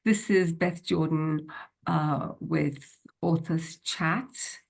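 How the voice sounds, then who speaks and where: A woman's voice: sits low, fairly even intonation, drawn-out words, vocal fry, slightly rough. A woman hosting an author interview over a video call, enthusiastic but hesitant, with many "uh" fillers. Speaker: host.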